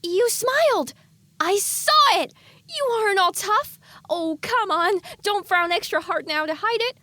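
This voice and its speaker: A woman voicing the innocent, cheeky inner thoughts of a girlish young pony in an audio drama, playful and expressive. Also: very high-pitched, a little slow, dry recording, pitched above the performer's own voice, full character voice. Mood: delighted, teasing.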